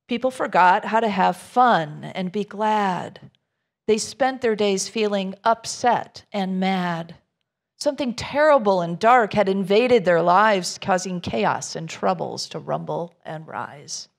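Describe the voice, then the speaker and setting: Slow, creaky-voiced woman reading a storybook. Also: mid-range voice. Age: middle-aged. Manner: measured, expressive storytelling with informal asides to listeners. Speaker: minister. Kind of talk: reading a children's rhyming storybook aloud to a congregation.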